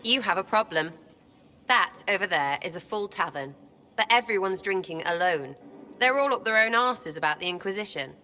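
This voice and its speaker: A woman's voice: medium pitch, animated, moderate pace, sharp tone, long reverb tail. An actress with an English accent voicing a cheeky, irreverent young woman prankster in a video game, brash and slangy. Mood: restless, scheming. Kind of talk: video game character acting.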